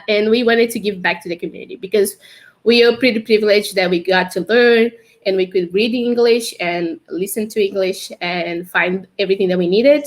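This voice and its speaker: A young woman from Brazil with a Brazilian Portuguese accent, chatting casually as a guest on a livestream interview over a video call, laughing often. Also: medium pitch. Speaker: guest, developer advocate.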